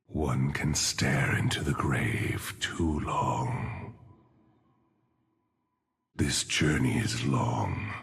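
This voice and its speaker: Ancient gravelly, abyss-deep necromancer monk. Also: male voice, a bit bright, heavily acted. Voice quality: gravelly, breathy rasp. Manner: slow, solemn, brooding. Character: ancient weary male necromancer monk, grim, tormented. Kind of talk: video game character voice lines. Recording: long cavernous reverb.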